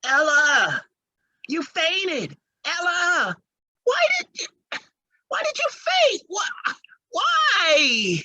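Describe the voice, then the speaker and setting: A woman plays a naive, stubborn young husband from an old-time radio horror drama. The voice is high and swoops dramatically, yet the pace is slow and halting. He is folksy and excitable, devoted to his mama. The sound is close and dry. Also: dry recording, exaggerated voice. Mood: anxious, fretful.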